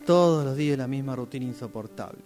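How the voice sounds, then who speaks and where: A man's voice: medium pitch, fast talker, a little mellow, faint music behind. A man from Paraguay reading a dramatic monologue as a student exercise.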